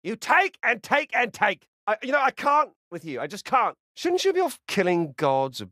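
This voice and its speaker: A man voicing a rude, sarcastic, foul-mouthed squirrel spirit in a video game, swinging between muttered asides and brash yells. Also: sits high, big pitch swings, moderate pace, no room sound, clean studio sound, exaggerated voice. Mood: sarcastic, dismissive. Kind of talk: video game character acting.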